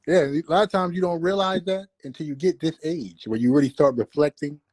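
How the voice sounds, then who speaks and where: A man's voice: fairly high pitch, a little fast, a little mellow, no room sound. A man in his fifties chatting casually as a guest, laid-back and slangy with self-deprecating humor. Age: 50s. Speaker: guest, longtime friend. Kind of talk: casual conversation.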